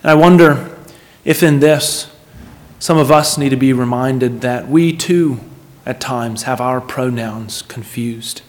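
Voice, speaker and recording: A man preaching a sermon in an earnest, emphatic manner, repeating phrases for weight and putting questions to his listeners. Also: mid-range voice.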